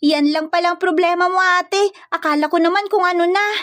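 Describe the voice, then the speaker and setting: A woman puts on a confident little sister from the countryside for a narrated story. The voice is very high and dryly recorded. The manner is cheeky, blunt and teasing. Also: fairly even intonation, a little fast, a bit bright, no echo, studio quality. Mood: breezy, confident.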